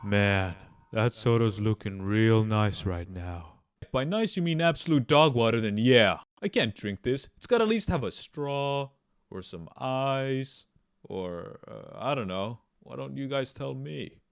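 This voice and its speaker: A man's voice: medium pitch, expressive, unhurried. A man hosting a comedic scripted video essay, melodramatic and mock-serious, with sudden emphatic bursts. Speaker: YouTube video essayist and host. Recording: clear music under the voice.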